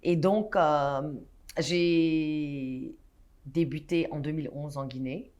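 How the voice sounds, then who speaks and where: A woman's voice: low-pitched, slow pace, darkish tone, muffled recording. A woman in her thirties from Guinea, a guest in a studio interview, talking animatedly with frequent restarts and hesitations. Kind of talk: casual interview talk. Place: studio set.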